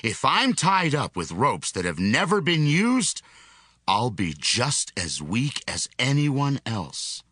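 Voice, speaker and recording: An actor playing a mighty strongman in a dramatized scripture reading, speaking in a slow, measured way. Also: medium pitch, very animated, a bit bright, no room sound, clean studio sound, full character voice. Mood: evasive. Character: mighty proud strongman Samson, grown man.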